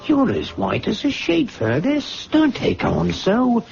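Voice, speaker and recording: An actor playing a simple, friendly, gossipy working man in a radio drama, husky and unschooled in his chatty speech. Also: extremely high pitch, wildly swooping pitch, slow, darkish tone, slight background noise, heavily acted. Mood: concerned. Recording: dull, muffled radio drama recording.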